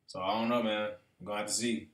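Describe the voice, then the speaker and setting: A man's voice: lowish voice, steady tone, fast, a bit bright. A man hosting a reaction video, talking casually and thinking aloud with plenty of fillers. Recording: echoey room, mic far off.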